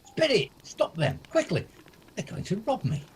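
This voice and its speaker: Guy doing grumpy scared old miser for a radio play, really swoopy pitch, blustery, bit of hiss. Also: huge pitch swings, normal speed. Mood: panicked, outraged. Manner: indignant, blustering, stammers when agitated. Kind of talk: amateur radio play acting. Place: at home, recorded over video call. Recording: faint noise, home video-call recording.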